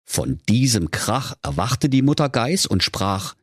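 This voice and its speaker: A man's voice: mid-range voice, sing-song, measured pace, slightly rough, no echo, clean studio sound. A man telling a scripted comic fairy tale in a mock-solemn storybook manner.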